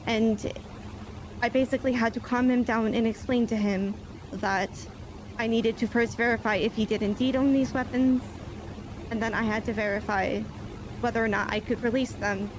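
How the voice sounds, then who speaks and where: A woman's voice: fairly high pitch, fairly even intonation, normal speed, noisy background. Woman giving formal police testimony. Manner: formal police-report phrasing, leans on "basically" and "myself". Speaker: police officer testifying as a witness. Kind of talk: formal courtroom testimony in a game roleplay.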